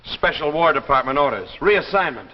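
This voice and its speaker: A man's voice: on the high side, expressive, normal speed, lightly acted. An American man playing an earnest, obsessed grounded Army pilot in 1950s television drama style, insistent and emphatic. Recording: muffled, noisy, echoey 1950s broadcast recording.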